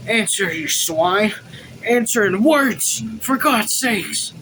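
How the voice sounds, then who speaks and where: A man voicing an overwrought lieutenant from a novel, anguished, homesick and quick to rage. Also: sits high, expressive, a bit bright, slight background noise, pitched above the performer's own voice, rough recording. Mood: furious.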